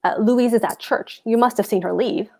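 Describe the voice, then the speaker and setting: A woman plays a smug landlord with a roving eye in a stage comedy read-through. The voice sits fairly high, and the delivery is blunt, coaxing and full of suggestion. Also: normal speed, warm tone. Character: brazen, philandering married landlord, a smug man. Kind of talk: play table read.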